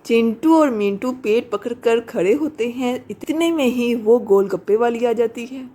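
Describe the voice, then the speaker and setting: A woman's voice: a little high, unhurried, mellow. A woman narrating a scripted horror story as a storyteller.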